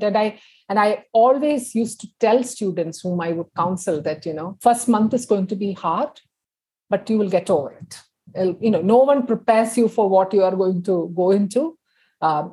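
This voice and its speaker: Wanita India bersuara serak, bercerita santai. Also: female voice, medium pitch, mellow, slightly rough, low-quality recording. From India (Kerala). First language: Tamil. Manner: chatty, many fillers, laughs through stories. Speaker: guest. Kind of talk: casual interview chat.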